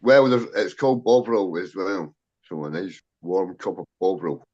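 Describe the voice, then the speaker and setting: Caller, man, forties, dry humor, patient explainer; lowish voice, muffled line. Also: animated, normal speed, a little mellow. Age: 40s. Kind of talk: casual chat. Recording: dull lo-fi line.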